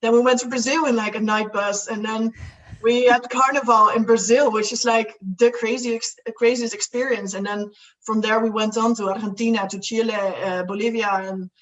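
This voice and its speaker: A woman's voice: a little high, steady tone, normal speed, far from the mic, rough recording. Young Dutch woman guest, casual rambling chat, sits a little back from the mic. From Netherlands. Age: young adult. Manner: rambling, many fillers and restarts, laughs often.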